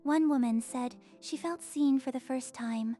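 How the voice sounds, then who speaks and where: A man voicing a gentle young female patient advocate who speaks earnestly. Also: very high voice, measured pace, faint music behind, pitched above the performer's own voice.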